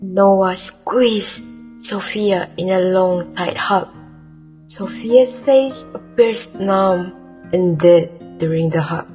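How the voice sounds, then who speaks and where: Female radio drama narrator: deliberate storytelling, lowish-to-medium pitch, unhurried, over music bed. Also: a little mellow. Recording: music and crowd noise behind. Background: clear music and crowd ambience.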